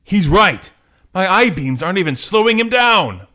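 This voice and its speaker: A man's voice: medium pitch, expressive, measured pace, fairly bright tone. A man playing an earnest, brooding young comic-book superhero with dramatic delivery. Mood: alarmed.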